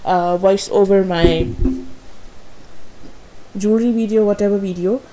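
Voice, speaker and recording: Slow, mellow-voiced woman, native Urdu speaker. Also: mid-range voice, steady tone, slight background noise, rough recording. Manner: hesitant, with frequent fillers and self-corrections; a little nervous. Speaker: craft tutorial host.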